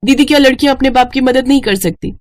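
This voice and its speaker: A woman's voice: high-pitched, quick pace, pitched above the performer's own voice, natural voice. A woman voicing an earnest, determined young village girl in a cartoon story.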